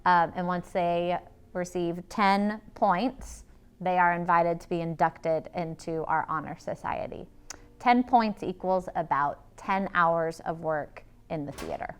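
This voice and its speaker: A woman's voice: on the low side, fairly even intonation, slow, clear, resonant tone. A woman, explaining how a club works in an interview.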